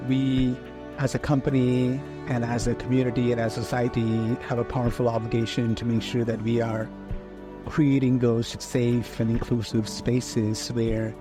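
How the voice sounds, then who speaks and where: A man from India, speaking in a measured, reflective way in an interview testimonial. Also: mid-range voice. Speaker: employee. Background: guitar music.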